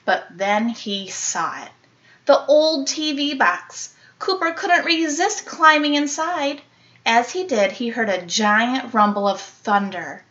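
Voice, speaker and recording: A woman reading a children's picture book aloud like an elementary teacher, animated, with dramatic emphasis and playful sound-effect words. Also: fairly high pitch, takes it slowly, highs cut off.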